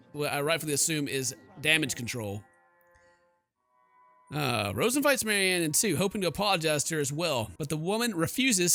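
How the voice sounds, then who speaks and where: A man streaming a game, giving animated, jokey commentary and reading the story narration aloud. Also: fairly high pitch. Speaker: gaming streamer.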